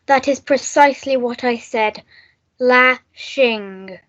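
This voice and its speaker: A flamboyant, high-voiced upper-class English schoolgirl as a woman acts her in a radio melodrama. She is breezy and theatrical, fond of her own drama. The sound is a little dull and lo-fi. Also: slow pace, fairly bright tone. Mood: gushing, eager. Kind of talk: comic melodrama radio play. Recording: dull lo-fi recording.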